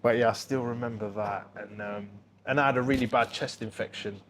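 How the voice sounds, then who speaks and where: A man's voice: fairly low pitch, steady tone, brisk. A British man telling anecdotes as an interview guest, conversational and slangy, acting out remembered exchanges. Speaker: guest, boxing MC recalling his career. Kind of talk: casual podcast interview anecdotes. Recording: noisy background with ambient sound.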